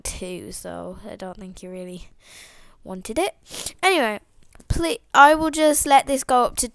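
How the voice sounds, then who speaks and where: A woman's voice: a little high, a bit bright, smooth, dry recording. A teenage girl with a cold chatting in a rambling way, full of fillers and restarts, into a headset mic at home. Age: teens. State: has a cold, sniffly. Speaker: toy video maker. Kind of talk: rambling casual outro chat. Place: indoors at home.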